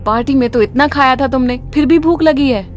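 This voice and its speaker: A woman's voice: sits high, a little fast, faint music behind, close to an everyday voice. A woman voicing a curt, snappish young mother in a cartoon story. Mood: scolding. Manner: curt, scolding. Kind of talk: cartoon dialogue.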